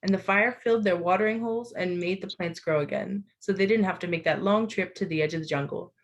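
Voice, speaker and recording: A woman volunteer reading a children's story aloud with expressive storytelling over a video call, lifting her voice for the animals' lines. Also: fairly low pitch, fast talker, dull audio. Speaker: volunteer reader. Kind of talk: reading a picture book aloud.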